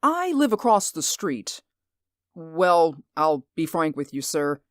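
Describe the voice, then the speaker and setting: A woman's voice: low voice, big pitch swings, slow pace, no room sound, studio quality, full character voice. A woman playing an anxious, insistent man in a radio drama, speaking earnestly and emphatically. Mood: earnest, uneasy. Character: anxious, insistent male stage actor spying on neighbours.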